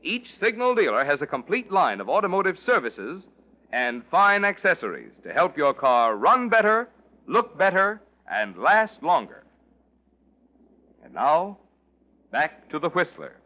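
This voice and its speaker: A polished male announcer gives an emphatic 1940s radio sales pitch. His fairly high voice rises and falls in a very animated way, on a dull vintage recording with some music. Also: unhurried.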